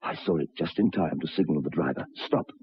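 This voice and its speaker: An actor in an old radio drama playing a troubled railway signalman, speaking in a grave, deliberate, halting way. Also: very deep voice, slightly rough, full character voice. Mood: haunted, grave. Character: haunted, troubled railway signalman telling of ghosts. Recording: dull lo-fi old radio recording.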